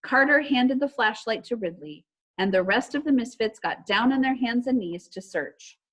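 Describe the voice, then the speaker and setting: A woman's voice: a little high, lively intonation, normal speed, fairly bright tone, rough recording. Woman teacher addressing a young class. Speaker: elementary school teacher. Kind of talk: read-aloud storytelling and casual announcements to pupils. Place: remote online class session.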